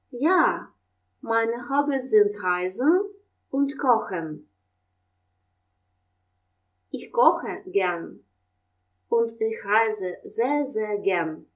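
Slow, clear woman teaching German. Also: mid-range voice, animated. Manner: reads German questions and answers slowly and clearly, explains in Russian. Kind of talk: language lesson, slow model dialogue.

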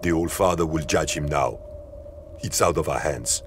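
An actor playing a stern, resolute warrior leader in an audio drama. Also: male voice, extremely low pitch, normal speed, background noise. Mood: grave.

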